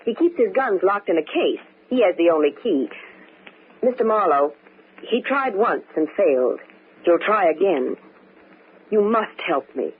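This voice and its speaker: Woman acting scared desperate wife in a 40s radio mystery, pleading, pitch swoops around, tinny old recording. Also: animated, measured pace, close to an everyday voice. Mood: anxious, urgent. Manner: pleading, dramatic. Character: frightened, desperate young married woman convinced of murder plot. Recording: hissy, narrow-band old radio broadcast.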